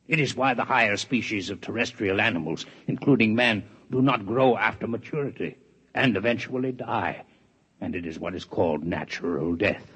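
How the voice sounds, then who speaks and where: An actor playing a proud, formal alien male scientist in a 1950s radio drama, with measured, precise diction. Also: fairly low pitch, fairly bright tone, slightly rough, heavily acted. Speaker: alien doctor in a radio play. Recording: dull vintage radio recording.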